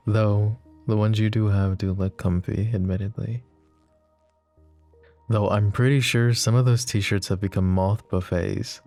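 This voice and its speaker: A man's voice: fairly low pitch, steady tone, slow, long pauses, dark tone, faint music behind. A man playing a smitten, self-deprecating mafia boss in an intimate ASMR romance roleplay, murmuring hesitantly and teasingly. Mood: teasing, flirty.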